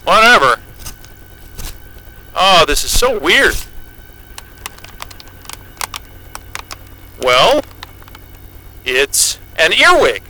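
A defiant, profane scientist in an audio drama. The voice is high for a man and very bright and forceful, swinging freely in pitch. He talks in a brash, skeptical, naturalistic way. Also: sing-song, slow pace, a little hiss or hum, exaggerated voice, poor audio. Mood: wary, dismissive. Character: defiant, foul-mouthed astrophysicist heading to an Antarctic station.

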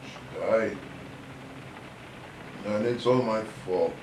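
Slow, drunken, guilt-ridden ex-doctor. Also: male voice, fairly low pitch. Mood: guilt-ridden, despairing. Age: middle-aged. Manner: halting, self-pitying, swearing, drunken rambling. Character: bitter, drunken former doctor wracked with guilt. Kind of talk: audio drama acting. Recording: roomy, distant, noisy audio drama recording.

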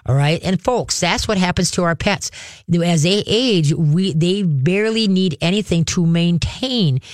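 A woman's voice: lowish voice, lively intonation, unhurried, darkish tone, dry recording. A woman in her sixties hosting a pet care call-in radio show, talking in a folksy, rambling, chatty way into a studio microphone. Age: 60s.

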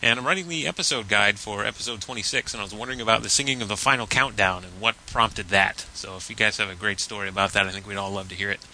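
Bright, fast-talking man, slightly noisy. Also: lowish voice. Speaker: listener leaving a recorded message. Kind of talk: friendly fan message.

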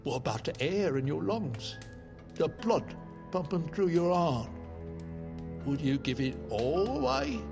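A grave old London storyteller. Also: male voice, on the high side, very animated, creaky, heavily acted. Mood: calm, probing. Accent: London English. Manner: measured, grave, theatrical storyteller. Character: very old English man telling his life story. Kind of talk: audio drama storytelling. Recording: music behind the voice.

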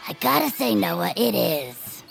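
A woman voicing a plucky, easygoing inventor and adventurer with a gravelly voice and plainspoken manner in a comedy audio drama. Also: mid-range voice, big pitch swings, unhurried, a little hiss or hum, heavily acted. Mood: relaxed. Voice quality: very creaky, gravelly. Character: plucky, laid-back inventor and adventurer Commander Hatch.

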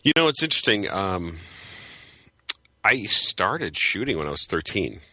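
A man in his forties from Chicago, a photographer guest telling his story in an animated way with many fillers. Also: sits low, a little slow, slightly rough, no echo. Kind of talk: interview answers.

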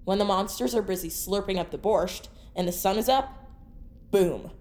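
An actress playing a level-headed young leader in an audio drama, earnest and dryly sardonic. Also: female voice, medium pitch, moderate pace, a little mellow, close to an everyday voice. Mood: scheming. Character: level-headed young woman leading her survivor friends.

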